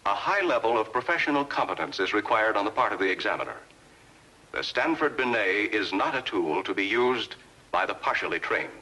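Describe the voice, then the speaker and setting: American man, low pitch, bright forceful tone, formal scripted narration, measured delivery, precise diction, vintage 1950s educational film sound. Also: big pitch swings, poor audio.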